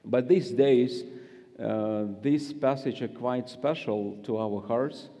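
A man's voice: medium pitch, slow pace, mellow, big-room sound. A man with a Russian accent, a guest preacher giving a friendly, joking sermon with many fillers and reading scripture.

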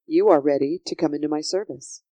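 A woman voicing a patient, kindly king in a children's storybook, measured, reassuring and quietly authoritative. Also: deep voice, dry recording, lightly acted. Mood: inviting. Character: gentle, solemn kingly man, patient and reassuring.

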